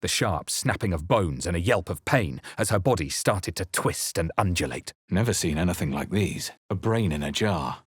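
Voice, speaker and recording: Husky, low-voiced brooding fantasy hero. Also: male voice, lively intonation, moderate pace, hardly any pauses, no room sound, clean studio sound. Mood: grave, intent. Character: brooding, battle-hardened male adventurer hero of a fantasy game. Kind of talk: dramatic fantasy game voice lines and first-person narration.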